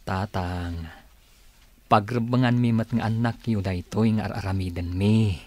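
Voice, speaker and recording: A caring grown son in a radio drama, speaks earnestly and respectfully. His voice is slightly deeper than average. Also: fairly even intonation, measured pace.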